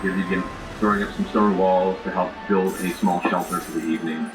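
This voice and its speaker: A man acting as game master, improvising descriptive narration for a tabletop game with halting filler words. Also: low voice, steady tone. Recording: roomy, distant mics, music behind.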